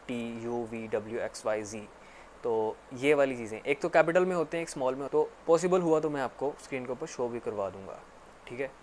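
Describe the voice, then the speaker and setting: Male tutor, mid-pitched, rambling casual lecture, faint hiss behind. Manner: repetitive, filler-heavy explaining with frequent "actually". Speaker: English teacher.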